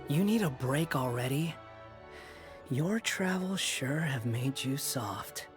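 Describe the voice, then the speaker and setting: An arrogant young man for a video game. He is impatient and sneering, drawling slowly with wide swings in pitch over music. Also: expressive. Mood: condescending. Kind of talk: scripted video game voice line. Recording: game audio with music behind.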